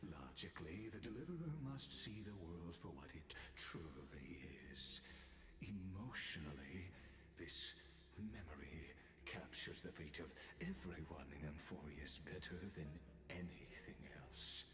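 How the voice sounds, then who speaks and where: An actor playing an imprisoned, grandiose villain in a video game, patient and menacing, speaking in theatrical, ornate lines with heavy pauses. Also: male voice, low-pitched, expressive. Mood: solemn, beckoning. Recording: echoey hall with long reverb.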